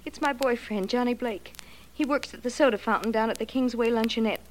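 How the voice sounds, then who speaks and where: Woman playing a frightened, high-voiced young lady, old radio. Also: fairly quick, close to an everyday voice. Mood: terrified, pleading. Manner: urgent, breathless, hesitant melodramatic pleading. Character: frightened, pleading young woman desperate to save boyfriend. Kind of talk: 1940s radio acting. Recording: noisy vintage 1940s radio recording.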